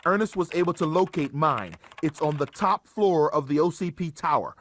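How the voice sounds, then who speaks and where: Earnest higher-voiced male mission ally. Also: animated, moderate pace, fairly bright tone. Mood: earnest concern. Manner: earnest, explanatory, supportive. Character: earnest male ally briefing a cyborg cop. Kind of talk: video game mission briefing. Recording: keyboard clicks, faint background noise.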